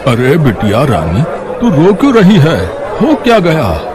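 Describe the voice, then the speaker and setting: A jovial, wealthy old gentleman from a storybook, acted by a man. His pitch swings widely as he speaks in a kindly, indulgent, drawn-out way. There is clear noise behind him. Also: huge pitch swings, crowd noise. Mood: concerned, kindly.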